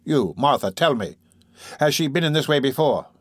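A man's voice: medium pitch, lively intonation, moderate pace, slightly rough, no echo, studio quality. A man voicing a stern, self-important workhouse matron in a storybook, scolding sharply.

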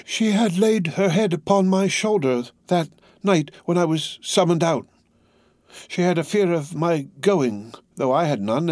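A man reading aloud, voicing a frail, dazed old male prisoner who speaks in halting, wondering phrases. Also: on the high side, a little mellow, no room sound, clean studio sound, voice pushed up, close to an everyday voice. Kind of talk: audiobook character voice.

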